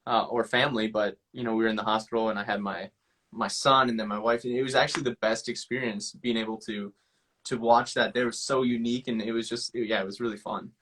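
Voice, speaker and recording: A young adult man hosting a casual live chat, relaxed and affirming as he talks. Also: on the low side, quick pace. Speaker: host.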